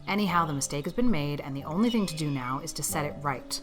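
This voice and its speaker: A woman playing a stern, practical older farm spinster, brisk and plain-spoken, in a dramatized storybook. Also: low-pitched, expressive, over music. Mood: resigned, decisive. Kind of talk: dramatized audiobook acting.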